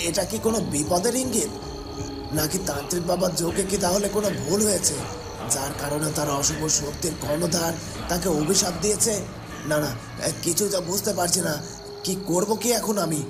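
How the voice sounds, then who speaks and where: A man acts out an earnest, anxious young man in a fairy-tale cartoon. His voice is slightly high and creaky, dramatically questioning, with clear music underneath. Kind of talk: Bengali cartoon voice acting.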